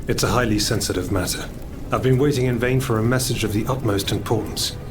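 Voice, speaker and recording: A man playing a grief-stricken, honourable French general in a video game, speaking gravely, wearily and formally. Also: medium pitch, brisk, close to an everyday voice. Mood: grave, worried. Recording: slightly distant, loud music behind.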